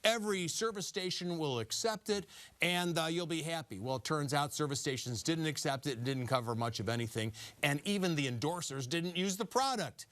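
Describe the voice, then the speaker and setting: A man's voice: fairly high pitch, lively intonation, fairly quick, a bit bright. A man hosting a televised panel discussion, laying out news items and putting questions to the panelists. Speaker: host and moderator of a panel discussion. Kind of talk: TV panel moderation, posing questions.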